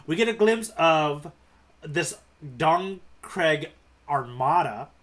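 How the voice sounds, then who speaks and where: A man's voice: on the high side, lively intonation, slow pace, fairly bright tone. A man co-hosting a casual podcast as the guide, explaining things and steering the discussion in a conversational way.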